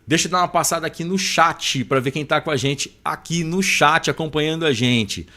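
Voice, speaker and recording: A man's voice: a little high, fairly quick, pushed and bright. A man with a Brazilian Portuguese accent hosting a live podcast, chatting informally and affectionately with listeners. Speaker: podcast host. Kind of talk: casual live podcast chat, reading out chat greetings.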